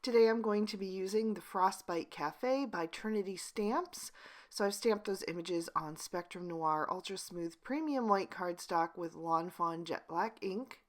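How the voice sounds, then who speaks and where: A woman with a hoarse, breathy voice that is close to a whisper, pushing to speak up as she narrates a craft tutorial as the channel's host. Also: medium pitch, unhurried. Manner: pushes to speak as loudly as she can, steady instructional tone. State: hoarse, losing her voice. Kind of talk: voice-over narrating a card-coloring tutorial.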